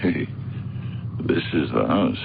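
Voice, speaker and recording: A reluctant, flustered man in a vintage radio drama, protesting with exasperation. His deep voice has a gravelly creak. The old recording is thin, with some noise behind him. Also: lively intonation, slow pace, exaggerated voice. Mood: reasoning, hesitant. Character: reluctant, exasperated TV actor mistaken for a detective. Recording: noisy, narrow-band old radio recording.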